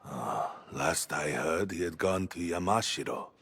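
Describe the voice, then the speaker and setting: An actor playing a grave, weary warrior of feudal Japan in a video game drama, speaking in a measured, husky, gravelly voice. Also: male voice, a bass voice, fairly bright tone, full character voice. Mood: grave, thoughtful.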